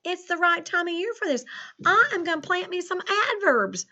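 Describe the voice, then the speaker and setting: A woman's voice: very high-pitched, lively intonation, moderate pace, bright, forceful tone, full character voice. A woman voicing a folksy, easily sidetracked male farmer with a country drawl, talking playfully to children in a spelling lesson. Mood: folksy, chatty. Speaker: school teacher leading spelling practice. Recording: dull, lo-fi recording.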